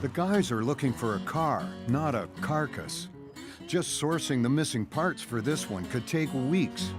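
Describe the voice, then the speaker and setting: A man narrating a TV car show from a script, in a measured, wry tone. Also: medium pitch, expressive, over music.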